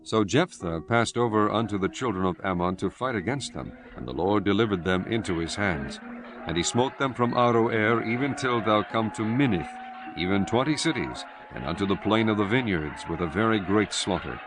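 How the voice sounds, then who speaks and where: A man narrating a dramatized scripture audiobook in a solemn, measured reading style. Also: lowish voice, animated, background noise. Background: music.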